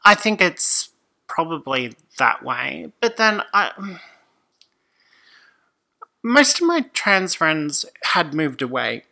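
A woman, a podcast guest talking casually and thoughtfully, often pausing and restarting. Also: low voice, very animated, vocal fry, bright, forceful tone. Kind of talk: casual conversation.

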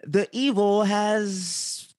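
A man from Haiti, a guest in a casual interview, speaking in an earnest, measured, slightly hesitant way. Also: high-pitched, a little mellow, dry recording.

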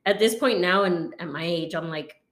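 A woman in her thirties, a guest in a casual chat, talking in a relaxed, rambling way full of fillers. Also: on the low side, normal speed. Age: 30s. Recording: roomy echo.

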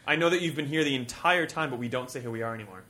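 A man's voice: medium pitch, rapid-fire, bright, forceful tone. A man hosting a casual gaming podcast, joking and teasing his co-hosts. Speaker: podcast host.

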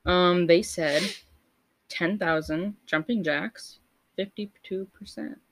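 A young woman with a low voice co-hosting a casual podcast, reading out would-you-rather questions and laughing often. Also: slow pace. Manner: giggly, loose and chatty. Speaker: podcast co-host.

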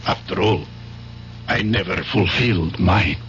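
A man's voice: low-pitched, a little slow, a bit bright. An actor playing a hesitant, evasive professional man under questioning in a 1970s radio drama, careful and backpedalling. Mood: regretful, evasive. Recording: dull, faintly noisy 1970s radio recording.

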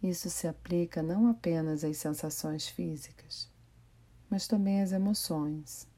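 A woman with a Brazilian accent guiding a meditation in a gentle, unhurried manner with pauses. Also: fairly low pitch. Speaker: meditation guide. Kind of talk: guided body scan meditation.

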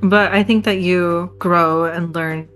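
Young woman guest, slow and unhurried, dry wit, soft music behind. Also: fairly low pitch, steady tone. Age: 20s. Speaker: podcast guest. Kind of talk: casual chat.

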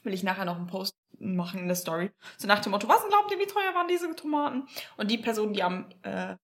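A young woman co-hosting a casual podcast chat, loud and laughing, with frequent swearing. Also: mid-range voice, sing-song, fast talker. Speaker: podcast co-host.

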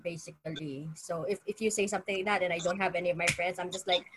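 A woman's voice: lowish voice, fast, noisy background. A woman from the Philippines with a Filipino accent, a guest telling stories in a casual panel chat, laughing often and acting out quotes. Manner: laughs often, animated storytelling with acted quotes. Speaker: guest, stripper.

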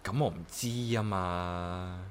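A man's voice: deep voice, takes it slowly, a little hiss or hum. An actor playing an easygoing man who lives alone, speaking in a casual, wry manner in a radio drama. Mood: curious, teasing. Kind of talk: Cantonese radio drama acting and narration.

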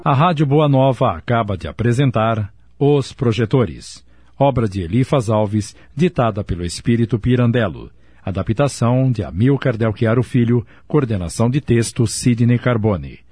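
A man's voice: mid-range voice, lively intonation, moderate pace, darkish tone, husky, no room sound. A man with a Brazilian accent narrating a radio drama and presenting it in a scripted style. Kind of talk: scripted narration and credits reading.

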